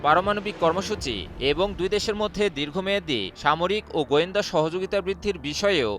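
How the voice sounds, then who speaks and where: High-pitched Bangladeshi male news reporter, bright voice. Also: brisk, slight background noise. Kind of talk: scripted news narration. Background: music bed under the voice-over.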